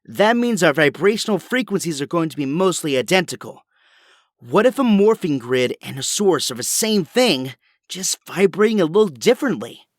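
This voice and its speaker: A man's voice: on the high side, big pitch swings, normal speed, no room sound, clean studio sound. A man playing an earnest, brainy young hero with a geeky, kindly manner in an audio drama. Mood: curious, impressed.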